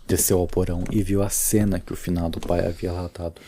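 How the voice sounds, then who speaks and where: A man's voice: deep voice, flat delivery, fast talker. A Brazilian man narrating a scripted horror audio drama. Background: music.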